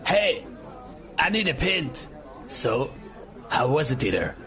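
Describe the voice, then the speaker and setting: An actor playing a casual, inquisitive, slightly anxious drinking companion in an audio drama. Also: male voice, fairly high pitch, sing-song, takes it slowly, over music, crowd noise. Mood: curious, uneasy. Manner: casual, questioning. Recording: crowded room noise behind.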